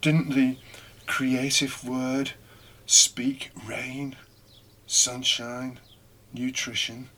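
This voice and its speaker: Slow, bright-voiced man preaching reflectively. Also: mid-range voice, flat delivery. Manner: deliberate, with pauses and rhetorical questions. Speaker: preacher giving a scripture reflection. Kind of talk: reflective homily.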